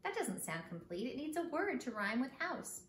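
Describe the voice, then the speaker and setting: A woman reading the part of a proper, fretful father in a children's storybook, earnest and deliberate. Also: high-pitched, very animated, pitched above the performer's own voice. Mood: puzzled. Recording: echoey room with background noise.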